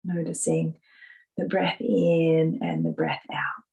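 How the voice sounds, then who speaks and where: A woman leading a guided visualisation meditation, speaking softly in a gentle, soothing manner with drawn-out pauses. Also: low-pitched, level tone, gravelly, muffled recording.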